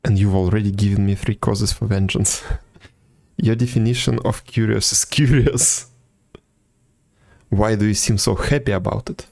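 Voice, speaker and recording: This is a man live-streaming a game in a very low voice with plenty of creak. He comments casually and drily, now and then reading the dialogue out in an offhand way. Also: steady tone, unhurried, darkish tone.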